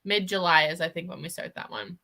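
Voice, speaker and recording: A woman co-hosting a casual, excited book chat, opinionated and giggly, sprinkling "like" through her sentences. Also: lowish voice, a little fast, vocal fry. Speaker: book discussion co-host. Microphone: livestream video call.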